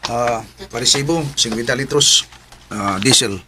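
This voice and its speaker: A man's voice: medium pitch, unhurried, background noise, close to an everyday voice. A man playing a reserved, courteous young suitor in a Filipino radio drama, with a Cebuano accent. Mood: courteous, hesitant.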